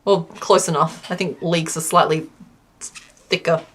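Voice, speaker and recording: A woman's voice: sits low, fairly even intonation, unhurried, slightly rough. A woman chatting casually on a crochet livestream, rambling with many fillers and reading chat aloud. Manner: reads chat aloud and counts stitches quietly. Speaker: crochet streamer.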